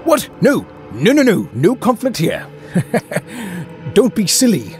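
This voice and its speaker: A man's voice: sits high, huge pitch swings, slow pace, darkish tone, faint music behind, full character voice. A man playing an earnest, bumbling dragonborn adventurer in a comedic fantasy audio drama, chatty and awkwardly courteous. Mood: nervously flattering.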